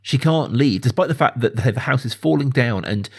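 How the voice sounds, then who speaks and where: A man's voice: medium pitch, animated, fairly quick, creaky, slightly rough. A man hosting a podcast, talking casually about film in an emphatic way, often repeating phrases for stress. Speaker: podcast host.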